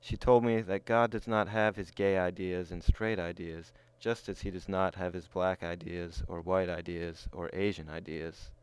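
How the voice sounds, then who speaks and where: Man, very low pitch, smooth clear tone, reading personal story. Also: fairly even intonation, moderate pace, few pauses, no echo. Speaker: reader of personal testimony. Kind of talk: reading a written first-person story aloud.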